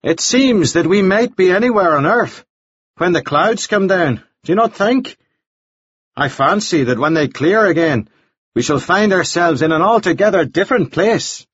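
A man voicing a genteel, talkative gentleman who speaks formally and politely, in a dull, dry recording. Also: high voice, expressive, moderate pace, breathy edge, no room sound, pitched above the performer's own voice. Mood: musing. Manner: formal, flowery, solemnly polite.

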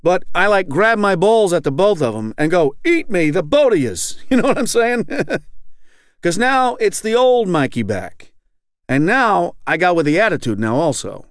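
A man voices a bitter, foul-mouthed man in recovery who keeps circling back on his own story. The voice sits fairly high and leaps around in pitch. It is recorded close and dry, with no room sound. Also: very animated, a little slow, no room sound. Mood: bitter, heated. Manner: rambling, profane, keeps asking "you know what I'm saying". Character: angry, profane recovering man, middle-aged. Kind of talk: reading aloud a fictional monologue.

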